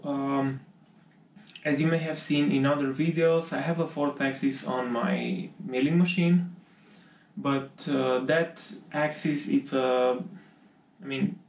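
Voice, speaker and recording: A man's voice: medium pitch, vocal fry. A man in his forties from Romania with a Romanian accent, explaining a project in his home workshop, hesitant and often correcting himself. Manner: hesitant, self-correcting, many fillers. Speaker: maker vlogger. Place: home workshop with pegboard and bench.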